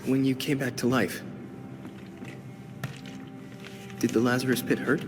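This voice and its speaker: A man's voice: mid-range voice, level tone, fast talker, close to an everyday voice. An actor playing an earnest, inquisitive young male vigilante in a video game cutscene. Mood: troubled, searching. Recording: long reverb, loud music behind.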